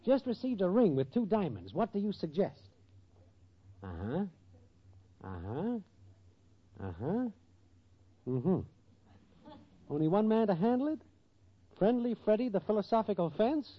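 An actor playing a shifty, slangy small-time schemer of a boyfriend in a 1940s radio comedy, given to grand speeches. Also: male voice, high voice, sing-song, unhurried, long pauses, husky, full character voice. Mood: scheming. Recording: muffled, noisy 1940s radio broadcast.

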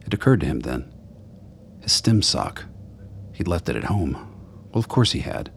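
A man's voice: low voice, big pitch swings, normal speed, gravelly, a little hiss or hum. A man narrating a radio play, reading scripted third-person story prose.

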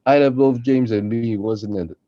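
A man chatting casually as a co-host, with halting, non-native phrasing. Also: medium pitch, fairly even intonation, no echo, dull audio. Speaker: podcast co-host, amateur voice actor. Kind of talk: casual behind-the-scenes chat.

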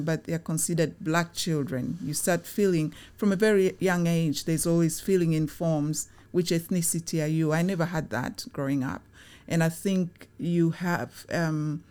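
A middle-aged woman born in Tanzania talks through her answers in an interview. Her voice sits low, and she is reflective and unhurried, often pausing on fillers and restarting her phrases. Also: a little mellow. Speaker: guest, chief operating officer.

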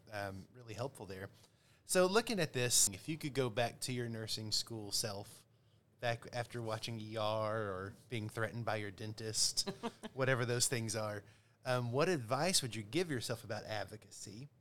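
A man hosting a nursing podcast, asking measured, multi-part questions. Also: fairly low pitch, a bit bright. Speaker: podcast host.

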